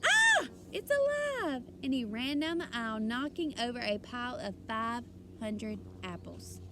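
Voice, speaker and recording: A woman's voice: fairly high pitch, normal speed, very noisy. A woman reading a children's story aloud expressively and emphatically, like a teacher addressing her young listeners.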